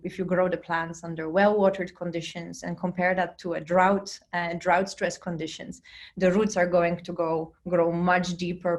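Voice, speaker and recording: Polish-born woman giving a casual science talk. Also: medium pitch. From Poland. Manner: conversational, frequent fillers and self-restarts. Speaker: plant scientist lecturing students. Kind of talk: recorded virtual lecture. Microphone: computer mic on a video call.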